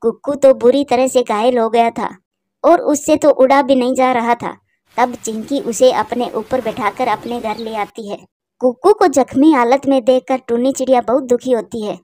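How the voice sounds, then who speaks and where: Female narrator for children's tales: high pitch, soft warm tone, close dry recording. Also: fairly even intonation, moderate pace, dry recording. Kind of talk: scripted children's story narration.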